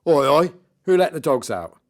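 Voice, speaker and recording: A man's voice: a little high, very animated, moderate pace, voice pushed up. A man voicing a nasty, blustering party magician with sneering showman patter in a children's storybook. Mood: mocking. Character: nasty loud male children's party magician, mocking and cowardly.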